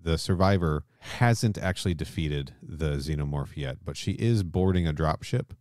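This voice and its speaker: A man's voice: a bass voice, moderate pace, a little mellow, no room sound, clean studio sound. A man co-hosting a podcast, talking casually and emphatically, with some swearing. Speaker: podcast co-host. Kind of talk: casual discussion and film plot recap.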